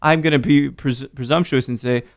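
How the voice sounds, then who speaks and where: A man's voice: mid-range voice, steady tone, a little fast. A man hosting a casual chat, asking questions in slangy, informal language. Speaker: host.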